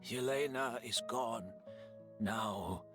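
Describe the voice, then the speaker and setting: A man playing a weary, dignified older scholar in a video game, halting and resigned to death. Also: medium pitch, over music.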